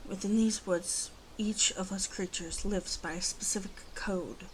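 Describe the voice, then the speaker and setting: Woman acting a slow, brooding female werewolf. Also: medium pitch, fairly even intonation, a little hiss or hum, natural voice, low-quality recording. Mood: solemn, bitter. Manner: slow, halting, brooding, breathy half-whispers. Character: guarded, grieving female werewolf, gruff but softening. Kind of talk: ASMR roleplay monologue addressed to listener.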